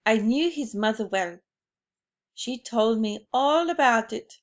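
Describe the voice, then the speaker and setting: A woman reading aloud as an old grandmother who tells tales with calm, serious conviction. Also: a little high, slow pace. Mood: grave. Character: stern old grandmother, grave and matter-of-fact.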